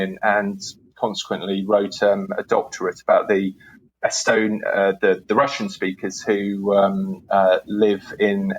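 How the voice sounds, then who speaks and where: A man's voice: on the low side, rough recording. A man from the UK, in his forties, answering interview questions in a hesitant way with many restarts and fillers. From United Kingdom. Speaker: guest, historian. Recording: faint background noise.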